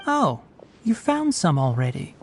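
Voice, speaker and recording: A man's voice: a little high, wildly swooping pitch, unhurried, gravelly, heavy background noise, full character voice. A man voicing a young fox-eared forest ranger in an anime-style video game, articulate, dry and instructive. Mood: dryly amused. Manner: instructive, dryly teasing, articulate.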